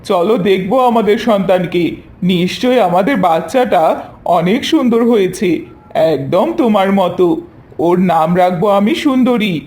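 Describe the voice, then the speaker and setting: Man playing a high-voiced proud fox husband. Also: expressive, unhurried, a little mellow. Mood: delighted. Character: proud, harsh male fox husband and father. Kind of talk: animated fairy-tale character voice.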